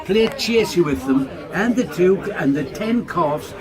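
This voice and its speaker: A man's voice: sits high, lively intonation, unhurried, over music. A middle-aged man telling family anecdotes in casual, chatty speech. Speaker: father telling family stories.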